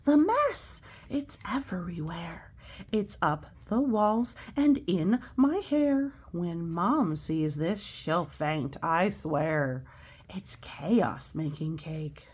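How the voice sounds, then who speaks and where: A woman voicing a naughty young girl from a rhyming storybook, guilty yet eager, in a drawn-out, deliberate verse delivery. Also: fairly low pitch, big pitch swings, darkish tone, breathy edge, full character voice. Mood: flustered. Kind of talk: rhyming storybook read aloud.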